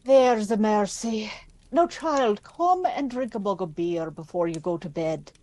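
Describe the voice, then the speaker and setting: An actress playing a kindly, gossipy servant of a great house in an audio drama, talking in a folksy, confiding way. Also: female voice, mid-range voice, lively intonation, measured pace, mellow, lightly acted.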